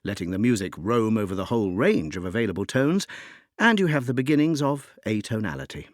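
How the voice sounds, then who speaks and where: A man narrates educational material in a fairly low voice that moves widely and expressively in pitch. His delivery is scripted, articulate and expository, shaped with rhetorical phrasing. The sound is dry, with very little room around the voice. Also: sing-song, brisk, no room sound. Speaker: narrator of a music introduction.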